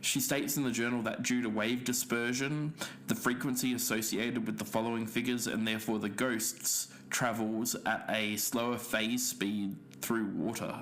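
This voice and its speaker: A man's voice: medium pitch, fairly even intonation, moderate pace, hardly any pauses, a bit bright, a little hiss or hum, rough recording. A man reading scripted, explanatory podcast narration.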